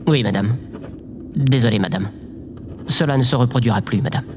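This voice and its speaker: A man's voice: medium pitch, normal speed, noisy background, natural voice. An actor playing a meek, awkward, eager male aide in a French audio drama, hesitant and deferential. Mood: sheepish.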